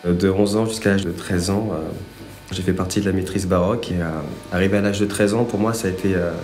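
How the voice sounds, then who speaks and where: Man, very deep voice, earnest and reminiscing, echoey room. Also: steady tone, fast talker, darkish tone, slight background noise. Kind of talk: documentary interview.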